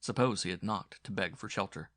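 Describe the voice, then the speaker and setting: A man's voice: low voice, fairly quick, a bit bright, dry recording, clean studio sound. A man giving a dramatic scripted narration of a horror story as an audiobook narrator.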